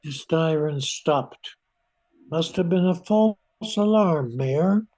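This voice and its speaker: An older woman playing a steady retired scientist in an amateur radio play, measured and reassuring. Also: deep voice, heavily acted. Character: retired scientist, steady, civic-minded candy maker. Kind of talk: amateur old-time radio play acting.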